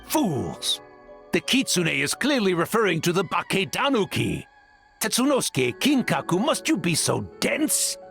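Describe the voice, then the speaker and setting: Gravelly, haughty yokai creature. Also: female voice, fairly low pitch, very animated, measured pace, a bit bright, full character voice. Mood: scornful. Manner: scornful, impatient. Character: haughty, scolding shape-shifting yokai creature. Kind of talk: video game voice acting. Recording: music behind the voice.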